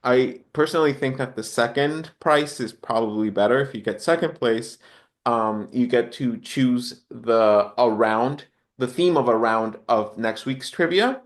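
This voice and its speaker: A man's voice: mid-range voice, unhurried. Reflective man in casual conversation. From Honduras. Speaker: podcast guest. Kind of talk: casual interview chat.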